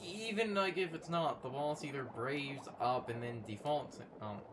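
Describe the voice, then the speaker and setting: A man in casual co-commentary on a video game, explaining in a halting way with game music behind him. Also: mid-range voice. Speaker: let's play co-commentator. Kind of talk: casual gaming chat.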